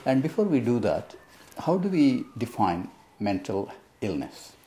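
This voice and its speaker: Man from India, mellow voice, polite, hesitant, TV interview host. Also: medium pitch, very animated, unhurried, slight background noise. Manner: polite, hesitant, with frequent uh fillers. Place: TV studio.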